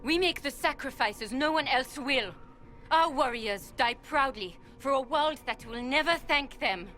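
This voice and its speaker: Stern, proud warden commander, higher-pitched. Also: female voice, measured pace, bright, forceful tone, soft background music. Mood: defiant pride. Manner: solemn, declamatory. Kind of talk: dramatic video game acting.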